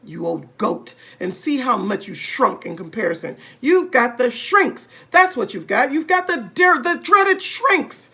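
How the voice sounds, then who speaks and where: A nasty storybook old husband, acted out by a woman reader who bullies with mock gravity and relish. The voice is creaky and emphatic, stretching key words to frighten and taunt. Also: on the high side, sing-song, unhurried, hardly any pauses, bright, forceful tone, pitched above the performer's own voice, full character voice. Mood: gloating, alarming. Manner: taunting, mock-serious, bullying. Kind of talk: children's storybook read-aloud.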